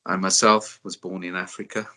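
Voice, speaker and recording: A man from Uganda, introducing himself on a panel in a measured way, with frequent fillers. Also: sits low, level tone, sharp tone. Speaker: fiduciary business executive.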